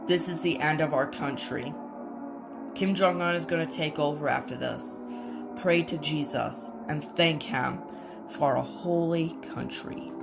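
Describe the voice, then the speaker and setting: Low-voiced woman announcer over music. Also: a little slow, vocal fry. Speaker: emergency alert announcer and scenario narrator. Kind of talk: scripted emergency broadcast announcement.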